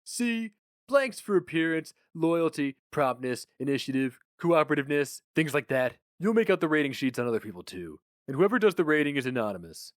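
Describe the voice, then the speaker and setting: A man performs a self-important office boss in his thirties for a dramatic story reading. He explains things briskly and with condescension. His pitch is raised and the sound is dry. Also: very animated, no echo. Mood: brisk, explaining. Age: 30s.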